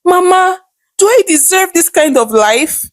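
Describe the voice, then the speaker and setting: Wronged young nigerian wife played by a woman storyteller, reproachful, high lively voice. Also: measured pace, dry recording, clean studio sound. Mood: hurt, accusing. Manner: pleading, reproachful.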